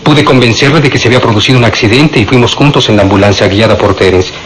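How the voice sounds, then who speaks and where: An actor playing a polite, unsure hospital orderly answering in a radio drama, hesitant and deferential. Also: male voice, mid-range voice, quick pace, slight background noise, lightly acted. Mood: hesitant, apologetic.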